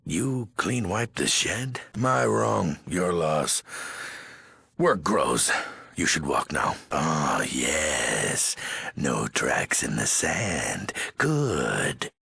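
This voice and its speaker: An actor voicing a menacing, weary ghoul overlord in a video game, raspy and gravelly, speaking in terse, commanding threats with odd broken syntax. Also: male voice, very deep voice, sing-song, extremely slow, pushed and bright, no echo, full character voice. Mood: guarded, gruff. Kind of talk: video game character voice acting.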